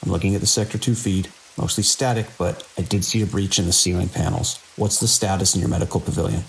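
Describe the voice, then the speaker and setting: Man acting a gravelly, grim security chief. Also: deep voice, fairly even intonation, quick pace, low-quality recording. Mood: urgent, alarmed. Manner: terse, grave official log-keeping and urgent radio talk. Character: grim, embittered male security chief of a research facility. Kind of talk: audio drama acting. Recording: faint hiss with rain-like noise.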